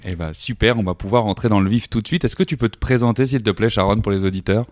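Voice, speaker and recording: A man's voice: fairly low pitch, fast, a little mellow. A man hosting a podcast, upbeat and motivational, speaking straight to the listener and asking his guest questions. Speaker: podcast host. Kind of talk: podcast intro and interview questions. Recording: music playing behind the voice. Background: guitar music.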